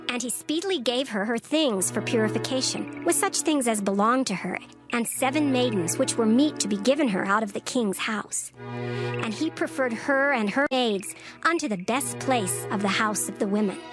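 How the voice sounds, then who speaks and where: A woman narrator reading dramatized scripture in a measured, formal storytelling manner. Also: on the high side, over music.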